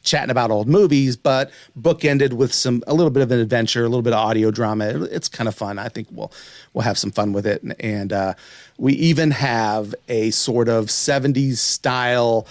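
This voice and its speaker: A man's voice: mid-range voice, fairly even intonation, moderate pace, no echo. A man hosting a podcast, chatting casually in an enthusiastic, rambling way. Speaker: podcast host.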